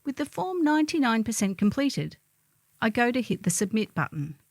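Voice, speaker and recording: A woman's voice: on the high side, very animated, normal speed, dry recording. Middle-aged woman, dry close recording. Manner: wry, theatrical storytelling with acted-out quotes. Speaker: columnist reading own humorous article. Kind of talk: scripted narration of a humorous first-person column.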